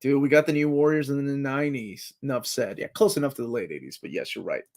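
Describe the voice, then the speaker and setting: A man in his forties hosting a casual live chat, talking excitedly like a fan as he reads and answers comments. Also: medium pitch, fast talker. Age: 40s. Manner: excitable fan, jumps between comments and guesses.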